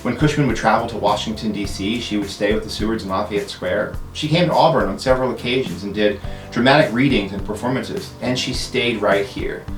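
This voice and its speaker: Low-voiced man presenting in an echoey room. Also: brisk, faint music behind. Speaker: museum education director, stunt host. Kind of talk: presenter talk to camera.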